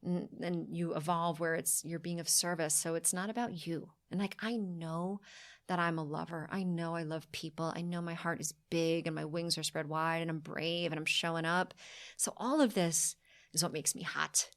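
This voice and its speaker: A woman's voice: fairly low pitch, fairly even intonation, fairly bright tone. Exuberant woman in her forties. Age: 40s. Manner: exuberant, emphatic, self-affirming, talks fast in bursts. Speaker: podcast guest, fitness company founder. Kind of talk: casual podcast interview.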